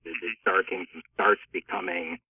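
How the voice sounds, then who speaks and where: A man with a low, husky voice talks as an author on a discussion panel. The sound is thin and phone-like, narrowed like a call. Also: sing-song, normal speed, no echo, recorded from a distance. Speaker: author being interviewed.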